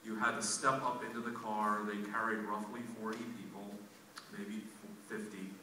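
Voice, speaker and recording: American male expert from Philadelphia, measured meeting explanation, crisp bright tone, far from mic in a reverberant room. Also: lowish voice, level tone. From Philadelphia, USA. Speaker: panel expert on transit vehicles. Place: large indoor public meeting room. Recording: very echoey hall, distant mic, faint noise.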